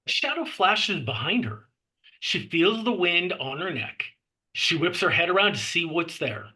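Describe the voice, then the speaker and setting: A man's voice: high voice, very animated, a little slow, pushed and bright, poor audio. A man reading screenplay action lines and scene headings as narrator at a table read. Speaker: stage directions reader.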